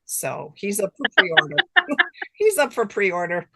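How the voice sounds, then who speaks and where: A woman's voice: medium pitch, unhurried, heavy background noise. A woman guest chatting casually in an interview, often laughing as she talks and acting out imagined exchanges. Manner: animated self-quoting, acting out imagined exchanges; giggly, laughs often while talking. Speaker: guest author.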